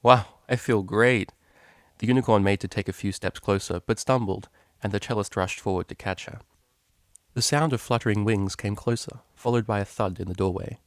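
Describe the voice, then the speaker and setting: Guy with a lowish voice reading a story out loud, narrator style, really dry sound. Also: brisk, no echo. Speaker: story reader.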